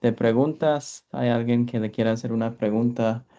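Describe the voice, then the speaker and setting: A man's voice: medium pitch, fairly even intonation, normal speed, mellow, dull audio. A man moderating a conference session, polite and brief as he opens the questions. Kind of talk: moderating a talk.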